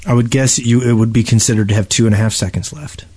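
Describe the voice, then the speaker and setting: A man's voice: medium pitch, fairly even intonation, quick pace, a little mellow, breathy edge. A man bantering casually as a co-host on a gaming podcast.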